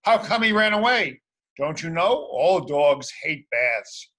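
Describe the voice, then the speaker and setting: Man acting bright, excitable cartoon cops. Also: on the high side, takes it slowly, exaggerated voice. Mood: calling out. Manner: calling out, scolding.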